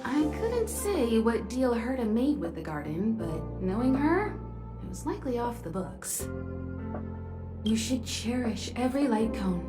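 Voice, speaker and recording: A knowing, elegant woman navigator from a sci-fi game. Her fairly high voice is composed and reassuring, in an echoing space with music underneath. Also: very animated, measured pace. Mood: explanatory. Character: poised, knowing female space-train navigator, gently teasing adult. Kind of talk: video game character dialogue.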